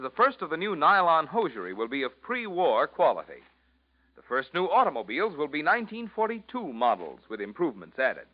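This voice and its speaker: A man announcing in polished, emphatic 1940s radio commercial style, selling as he speaks. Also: a little high. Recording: muffled vintage radio recording, faint music.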